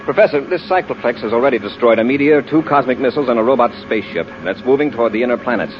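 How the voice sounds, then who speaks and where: A commanding space patrol officer, played by a male actor, talking fast in clipped, authoritative phrases. It is classic 1950s radio serial acting on a muffled recording with clear background noise. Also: mid-range voice, expressive, natural voice. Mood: brisk, serious. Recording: dull, noisy vintage radio recording.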